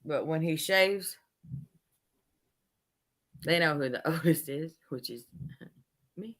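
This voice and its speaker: A woman's voice: deep voice, slow pace, a bit bright. A woman nearly fifty chatting casually, giggling and repeating herself. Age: 40s. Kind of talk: casual chat.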